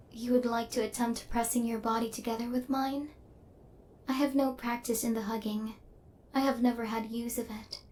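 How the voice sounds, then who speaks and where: A woman plays a female killer drone in an ASMR roleplay, with a slightly high, very even voice. Her phrasing is stilted, literal and clinical. She is stern yet softening, and there is faint noise behind her. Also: lightly acted.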